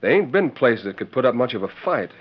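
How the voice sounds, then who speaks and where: An actor playing an earnest, henpecked frontier deputy with a rural Western American accent and folksy, hesitant manner in a 1940s radio western. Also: male voice, medium pitch, sing-song, fast. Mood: thoughtful. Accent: rural American West. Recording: dull old 1940s radio recording.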